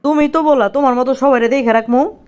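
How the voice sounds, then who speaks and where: A woman's voice: high voice, quick pace, a little mellow, clean tone, lightly acted. A woman voicing an exasperated, henpecked young husband in a comic cartoon, complaining with a Bangladeshi accent. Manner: complaining, incredulous. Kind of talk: comic cartoon dialogue in colloquial dialect.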